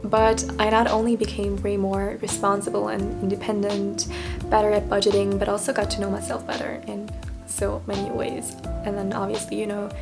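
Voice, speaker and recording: A woman's voice: medium pitch, completely flat delivery, brisk, creaky, over loud music. A young woman talking candidly in a casual vlog, reflective and self-deprecating, often laughing at herself. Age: young adult. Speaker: vlogger.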